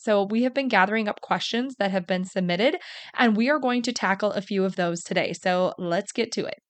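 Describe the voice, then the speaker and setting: A woman hosts her own podcast in a casual, encouraging tone. Her voice is hoarse and raspy after she nearly lost it, and it sounds a little tired. Her pitch sits in an ordinary middle range. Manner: warm, encouraging, repeats thanks. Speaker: podcast host. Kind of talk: casual solo podcast talk.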